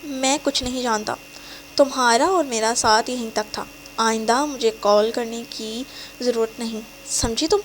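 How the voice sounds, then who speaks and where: Brief: cold, shouting boyfriend in an audio novel, a woman reading him, loud and harsh. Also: on the high side, slight background noise, close to an everyday voice, poor audio. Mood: angry. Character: angry callous young man boyfriend.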